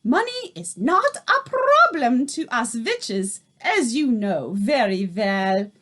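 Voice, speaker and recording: A woman reading aloud, voicing the tiny Grand High Witch, a furious and commanding female witch leader who shouts and speaks in odd broken grammar. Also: sing-song, slow pace, full character voice. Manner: shouting, shrieking, odd foreign-sounding grammar.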